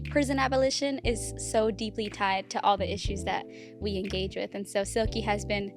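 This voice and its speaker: A woman's voice: mid-range voice, fairly even intonation, moderate pace, over music. A woman hosting a podcast, first reading a prepared script and then chatting casually with her guest using fillers. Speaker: podcast host. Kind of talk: scripted intro then casual interview chat.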